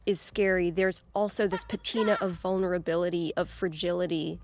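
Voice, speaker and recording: A woman's voice: lowish voice, little pitch movement, a little fast, a little mellow, slight background noise. A woman talking casually as a commentator on scenes and performances behind the scenes. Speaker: commentator on the game's scenes and acting.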